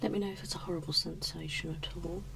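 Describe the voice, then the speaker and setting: A woman speaking softly and gently as an examiner in an ASMR medical exam roleplay, often checking in. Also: sits low, fairly even intonation, fast talker, vocal fry, noisy background.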